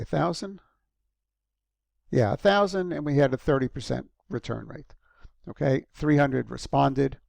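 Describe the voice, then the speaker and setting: A male lecturer speaks to his students in a loose, conversational way, pausing on ums and correcting himself as he goes. His voice has a strong gravelly fry. The recording is close and completely dry. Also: medium pitch, a little mellow, dry recording. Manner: conversational, frequent ums and restarts. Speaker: university lecturer.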